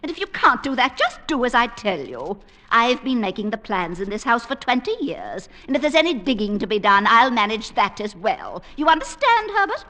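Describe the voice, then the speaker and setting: An actress playing a bossy, domineering middle-aged wife in an old-time radio drama, scolding and imperious. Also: female voice, on the high side, big pitch swings, normal speed, pushed and bright.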